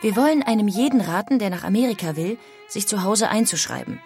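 An actress playing a promoter who recites a period advertisement earnestly, in audio drama style. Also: female voice, medium pitch, lively intonation, brisk, music in the background, close to an everyday voice. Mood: earnest advising.

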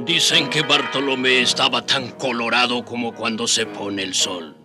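A man's voice: medium pitch, steady tone, normal speed, over loud music, lightly acted. A man playing a proud native rebel, telling his story with wry confidence in a radio drama.

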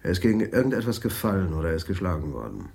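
Brisk, probing detective for radio crime drama: deep voice, very lively inflection, direct. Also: male voice, creaky, husky, exaggerated voice. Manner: direct, questioning, businesslike. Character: brisk, probing male Amsterdam police detective. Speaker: actor in a radio play. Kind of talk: 1980s German radio crime drama dialogue.

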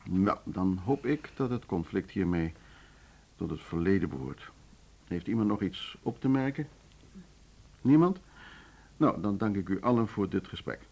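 A man's voice: deep voice, lively intonation, unhurried, long pauses, a little mellow, heavily acted. An actor playing a courteous, formal middle-aged chairman of a music school board in a Dutch radio drama. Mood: formal, firm. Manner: formal, polite, wordy.

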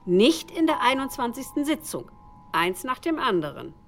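A woman co-narrating a radio feature with brisk, matter-of-fact scripted remarks. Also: high voice, sing-song, faint music behind.

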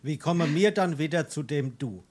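A man playing a naive, hot-headed young craftsman in a Darmstadt dialect comedy, earnest and excitable. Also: fairly high pitch, moderate pace. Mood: indignant. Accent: Darmstadt Hessian. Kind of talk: dialect stage comedy acting.

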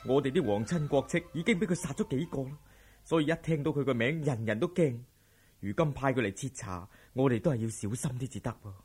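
A man acts out a corrupt, careful official in a Cantonese radio play. His voice is mid-range and slightly husky, over faint music. He pleads and persuades like a worried schemer. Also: medium pitch, fairly quick, a little mellow, close to an everyday voice. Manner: anxious, persuasive, placating. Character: cautious, scheming corrupt male grain official. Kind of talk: Cantonese audio drama acting.

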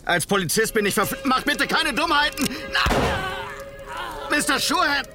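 A man's voice: very high-pitched, big pitch swings, unhurried, gravelly, full character voice. An actor playing an earnest, idealistic city policeman out west in a German radio drama, formal and insistent in manner. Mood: stern, alarmed. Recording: music bed behind dialogue.

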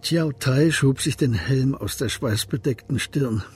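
A man's voice: mid-range voice, measured pace, darkish tone, husky, soft background music. A man narrating a German radio crime play in a scripted storytelling style.

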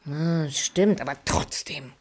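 A woman's voice: low-pitched, takes it slowly. An actress playing a sentimental middle-aged landlady in a German radio play, colloquial, sighing and complaining. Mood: indignant.

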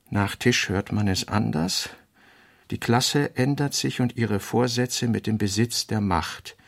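A man reading fragmentary essayistic literary notes in a deliberate, clipped scripted radio delivery. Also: medium pitch, vocal fry, slightly rough, no echo, studio quality.

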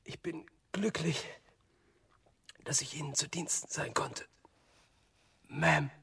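An actor playing a gritty, gallant vampire hunter in a radio drama, with a gruff and dramatic way of talking. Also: male voice, a little high, fairly even intonation, takes it slowly, a bit bright, exaggerated voice. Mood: weak, dying. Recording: music clearly behind the voice.

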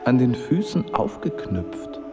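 Courteous, curious wanderer over music. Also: male voice, medium pitch, very animated, slow. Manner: polite, formal, inquisitive. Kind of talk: literary radio drama acting.

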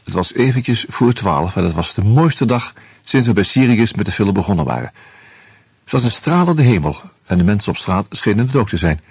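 An actor playing an anxious out-of-work husband who narrates in the first person, wry and hesitant, in a radio play. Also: male voice, on the low side, lively intonation, moderate pace, a little mellow, breathy edge.

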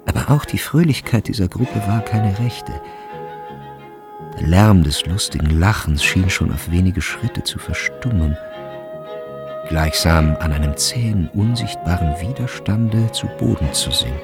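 Very deep, gravelly male narrator over music. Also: expressive, measured pace. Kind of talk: literary scripted narration in a radio drama.

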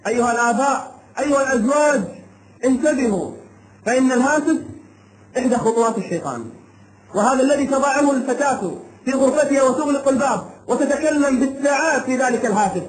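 Echoey recording, faint noise, man, preacher, impassioned storytelling sermon, emphatic repeated phrases. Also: extremely high pitch. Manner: emphatic short phrases, repetition for dramatic effect. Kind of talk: impassioned sermon, telling a cautionary tale. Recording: echoey room sound with light background noise.

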